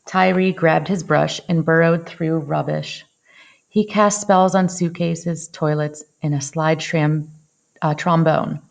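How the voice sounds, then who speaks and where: A woman reading a picture book aloud in an expressive storytelling style, dwelling on action words with dramatic phrasing. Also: sits low, slow pace, vocal fry. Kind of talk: expressive children's read-aloud narration.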